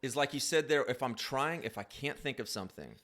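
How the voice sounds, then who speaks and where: An easygoing man hosts a casual podcast chat. His voice sits in a middle pitch. He rambles and restarts his sentences as he thinks. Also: lively intonation, brisk, a bit bright. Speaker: podcast host.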